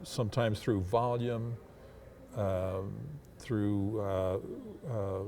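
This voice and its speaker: An older man, a public address announcer, reflecting in an interview in a deliberate, hesitant way with formal wording. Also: on the low side, fairly even intonation, dark tone, slight background noise.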